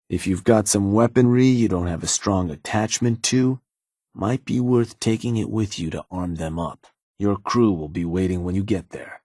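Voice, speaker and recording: An actor playing a shrewd, calm male raider lieutenant in a game, speaking in a dry, calculating drawl. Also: deep voice, husky, dry recording. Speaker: game character. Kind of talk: game voice lines.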